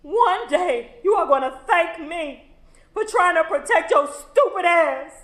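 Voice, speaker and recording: Actress as a bitter guarded mother, very high voice, slow deliberate lines, roomy echo. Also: animated, a bit bright, heavily acted. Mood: stern. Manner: stern, defensive, lecturing. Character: bitter, guarded mother lecturing her son. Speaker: actor in a stage play.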